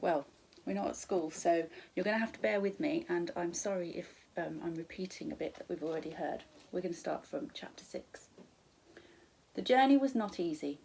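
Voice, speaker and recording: A woman's voice: on the low side, normal speed, gravelly, a little mellow, a little hiss or hum, low-quality recording. A woman with a British accent, a primary school teacher, narrating a children's storybook expressively.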